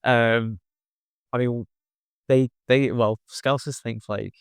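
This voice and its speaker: A man's voice: medium pitch, steady tone, takes it slowly, vocal fry, smooth, no echo, studio quality. A young university student from northwest England, chatting casually and filling his talk with "like" and "you know what I mean.". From Wirral, England. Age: young adult. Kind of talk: casual conversation.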